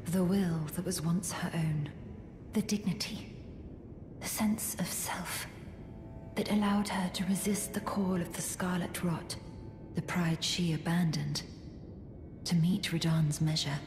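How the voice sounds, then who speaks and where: A woman playing a solemn, earnest young warrior in a video game, speaking in a measured, formal, sincere way. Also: fairly low pitch, steady tone, natural voice. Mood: solemn, resolute. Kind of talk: video game character acting. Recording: roomy echo, music behind.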